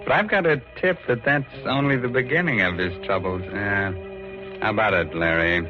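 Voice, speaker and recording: A man's voice: lowish voice, moderate pace. A man hosting a 1940s radio mystery show with a wry, macabre, teasing manner. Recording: old dull radio recording, faint music.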